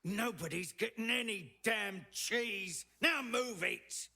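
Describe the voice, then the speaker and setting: An actor playing a gruff, bossy bandit looter in a video game, talking in rough colloquial speech. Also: male voice, sits high, slow, sharp tone, exaggerated voice. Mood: irritated. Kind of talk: video game character acting.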